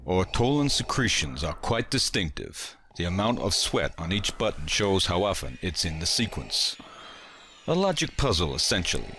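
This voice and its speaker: Man playing a low-voiced meticulous imperial inspector. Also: fairly bright tone, slight background noise, heavily acted. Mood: self-satisfied. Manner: precise, formal, clipped. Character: shrewd, meticulous, self-assured male imperial inspector. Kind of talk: dramatic audio comic dub.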